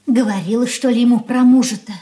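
A woman's voice: a little high, fairly even intonation, quick pace, warm tone, close to an everyday voice. An actress playing a simple, flustered mother of grown children, with anxious, hesitant, folksy speech in a Soviet radio play. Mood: anxious, homely. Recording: roomy 1980s radio drama studio sound.

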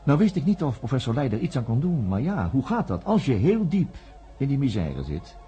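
A male actor as an earnest, self-doubting psychiatrist in a radio play. His voice is mellow and relaxed in tone but moves widely in pitch. He sounds reflective and a little hesitant, with music behind him. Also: a little fast, dull audio, lightly acted. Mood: sombre narration. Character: earnest, self-doubting younger psychiatrist, former student of a professor. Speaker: narrator and lead character. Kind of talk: radio drama acting with first-person narration.

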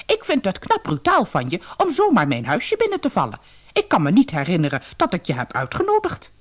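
A woman's voice: lowish voice, huge pitch swings, brisk. A woman voicing a shrewd, brazen old lady weasel in a storybook, haughty and formal. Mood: haughty indignation. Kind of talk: storybook character voice.

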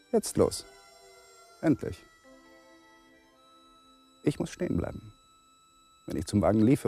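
An actor playing an anxious young lawyer in a fragmented, brooding inner monologue that drifts into whispers, in a radio play. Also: male voice, mid-range voice, vocal fry, warm tone, slightly rough, heavily acted.

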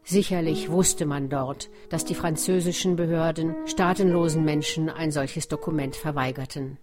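Low-pitched woman narrator. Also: steady tone, moderate pace, music in the background. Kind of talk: scripted documentary narration.

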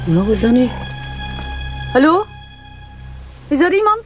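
A scornful woman suspect in a radio play, defiant and mocking under questioning. Her voice is very high. Music plays clearly underneath. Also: big pitch swings, takes it slowly, exaggerated voice. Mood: alarmed. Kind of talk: radio drama acting.

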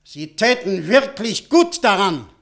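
An actor playing a stern, suspicious official in a radio drama, insistent and threatening in manner. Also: male voice, sits high, very animated, slow, gravelly, fairly bright tone, full character voice. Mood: menacing. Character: stern, suspicious, menacing male official giving warnings.

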